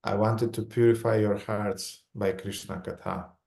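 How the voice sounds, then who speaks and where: A man with a Romanian accent giving a religious lecture in short phrases, pausing for an interpreter. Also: on the low side, little pitch movement, vocal fry, darkish tone.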